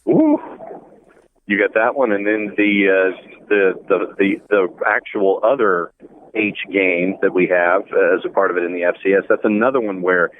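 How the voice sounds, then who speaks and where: Low-voiced man on a dull phone line. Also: moderate pace. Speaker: sports commentator on the show. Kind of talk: casual sports chat with predictions. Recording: dull phone call with faint noise.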